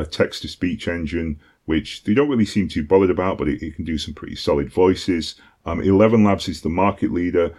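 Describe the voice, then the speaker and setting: A British man with a deep voice giving a casual tutorial, talking conversationally with frequent ums and "okay?" check-ins. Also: a little mellow. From Britain. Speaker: online course instructor.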